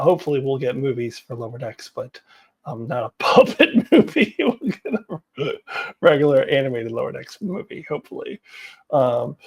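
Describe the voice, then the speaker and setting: A woman's voice: sits low, vocal fry, darkish tone. A woman giving casual, opinionated commentary as a vlog host, with a wry, blunt, exasperated and giggly manner.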